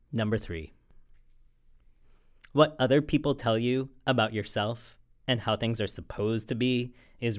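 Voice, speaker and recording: A man reading a personal essay aloud in an earnest, measured way. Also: medium pitch.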